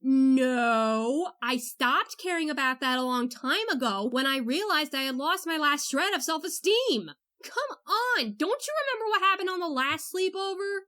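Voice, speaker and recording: A woman voicing an exasperated, sarcastic young princess in a fan cartoon dub, expressive and easily flustered. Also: high-pitched, moderate pace. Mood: reproachful. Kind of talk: fan comic dub, animated cartoon acting. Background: music.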